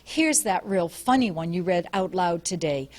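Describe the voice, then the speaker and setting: A woman voicing an anxious wife keeping up appearances, speaking in a bright, forced-cheerful, high manner. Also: expressive, moderate pace, natural voice.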